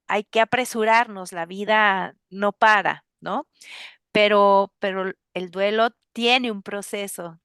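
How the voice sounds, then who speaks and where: A woman hosting an interview, earnest and pausing often with fillers. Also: medium pitch, gravelly, a bit bright, smooth, dry recording, studio quality. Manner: earnest, with many filler pauses. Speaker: host.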